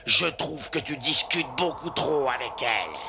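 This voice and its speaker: Gravelly, sneering cartoon sled dog. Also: male voice, on the high side, animated, measured pace. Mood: jealous, boastful. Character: jealous, boastful male sled-dog rival. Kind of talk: French cartoon dub. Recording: clear background noise.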